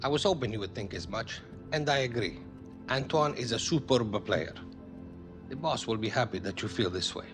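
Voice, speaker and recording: An actor playing an anxious, courteous football club official, formal and emphatic. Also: male voice, medium pitch, animated, moderate pace, over music, natural voice. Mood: satisfied. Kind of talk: video game cutscene acting.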